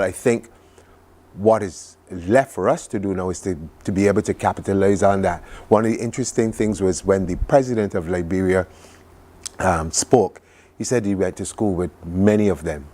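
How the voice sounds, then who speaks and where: A man's voice: fairly low pitch, moderate pace, a little mellow. A Barbadian man speaking conversationally as a television interview guest, recounting things in an enthusiastic, reflective way. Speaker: studio guest recounting a trip.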